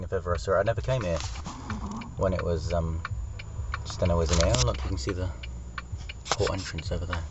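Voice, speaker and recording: A man, a lorry driver chatting wryly to his phone camera while driving, in a wry, self-mocking way. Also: sits low. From Wales. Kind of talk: casual running commentary while driving. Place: lorry cab on the road. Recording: muffled, loud engine and road noise.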